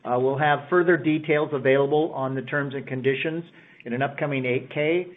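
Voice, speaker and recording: A man's voice: medium pitch, fairly even intonation, brisk. A man giving an unscripted business update on a conference call. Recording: narrow phone line.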